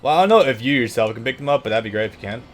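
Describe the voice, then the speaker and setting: A man's voice: medium pitch, lively intonation, rapid-fire. A man hosting a let's play, chatting casually as he comments on a video game. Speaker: host of a gaming let's play. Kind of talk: casual gameplay commentary chat.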